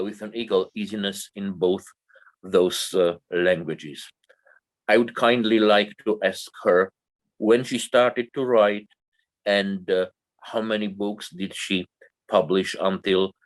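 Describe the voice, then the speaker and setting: Halting Romanian-accented man introducing a guest. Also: lowish voice, low-quality recording. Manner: halting, many fillers and restarts. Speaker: co-host.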